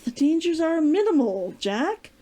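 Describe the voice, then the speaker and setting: Woman voicing storybook swordswoman, stubborn, guarded, curt, high lilting pitch, mellow. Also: sing-song, measured pace. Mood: self-assured. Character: proud, guarded, stubborn woman fighter with daggers. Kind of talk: storybook character dialogue read aloud.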